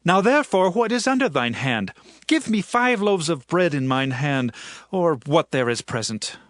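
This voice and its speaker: A man's voice: on the high side, very animated, normal speed, fairly bright tone, no echo, clean studio sound. A man playing an earnest young fugitive warrior in a dramatized scripture reading, speaking persuasively and insistently in archaic English.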